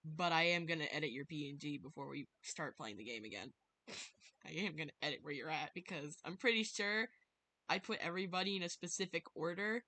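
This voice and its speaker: A woman's voice: sits low, fairly quick, sharp tone. A woman livestreaming a visual novel, chatting casually in a rambling, dramatic, easily spooked way and sometimes reading the story aloud. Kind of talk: casual game livestream commentary with some read-aloud narration.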